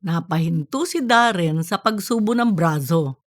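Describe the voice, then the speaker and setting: Lively-pitched woman narrator, very dry sound. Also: unhurried, dry recording. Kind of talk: scripted comedic audiobook narration.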